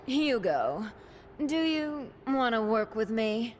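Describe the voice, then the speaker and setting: A woman's voice: on the high side, sing-song, slow, a little mellow, slight background noise. A woman playing a sassy young manager type in a video game, coaxing and flippant. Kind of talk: video game cutscene acting.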